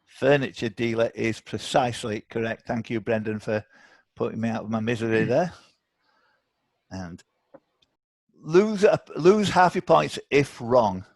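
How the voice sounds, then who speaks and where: A man's voice: medium pitch, lively intonation, measured pace, pauses often, darkish tone, no room sound. A man hosting a live online quiz, chatty and encouraging as he reads questions aloud. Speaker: quiz host. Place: online video call.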